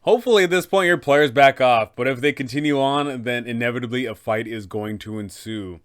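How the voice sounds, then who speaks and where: A man's voice: medium pitch, a little fast, a bit bright, clear, resonant tone. A man hosting a game master guide, talking in an enthusiastic, casual way. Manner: enthusiastic, upbeat. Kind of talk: casual tutorial narration.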